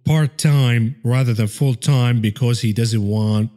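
A man with a relaxed, mellow voice at a medium pitch comments on market news as a show host. His delivery is informal and dryly sardonic, with a tongue-in-cheek edge. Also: measured pace, faint music behind.

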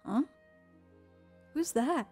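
A woman playing a helpful, spirited young female pirate detective in an audio drama, with a breezy, expressive delivery. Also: high voice, very slow, breathy edge, full character voice. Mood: pensive. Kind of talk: fan audio drama acting. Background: music.

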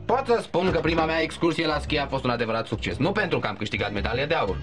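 A cocky cartoon boy with a sharp, bright tone. He snaps back with sarcastic retorts and then narrates in a quicker stretch. Light music plays underneath. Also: fairly high pitch, animated. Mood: narrating. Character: boy Louie, cocky, wisecracking. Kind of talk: Romanian cartoon dub.

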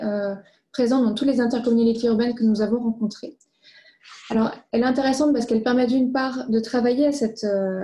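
A woman presents findings formally. Her voice sits fairly high and is very soft-edged and mellow. She explains things methodically, point by point.

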